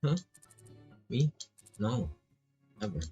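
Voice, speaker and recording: A man's voice: medium pitch, big pitch swings, slow pace, dark tone, breathy edge, muffled recording, soft background music. A man doing laid-back, casual live commentary on a visual novel, reading text aloud and swearing freely as he reacts.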